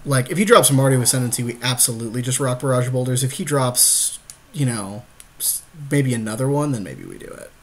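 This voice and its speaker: A man's voice: mid-range voice, animated, brisk. Slangy man with a mid-range voice. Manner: slangy, jokey. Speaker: podcast co-host advising on an online card game. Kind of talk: casual chat, game commentary.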